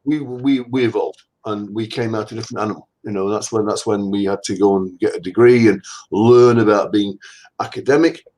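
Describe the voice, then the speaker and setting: A man's voice: on the low side, fairly even intonation, a little fast, darkish tone, rough recording. An older man from England, a guest in an interview, telling rambling, self-deprecating stories from his career over a video call. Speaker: guest anatomist. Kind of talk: casual conversational interview.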